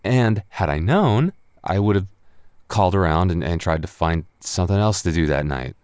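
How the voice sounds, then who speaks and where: A man's voice: deep voice, expressive, moderate pace, a little mellow, clean tone, no echo, studio quality. A young man acting a tipsy, flustered roommate hiding a crush in an intimate boyfriend roleplay, stammering and defensive in a conversational way.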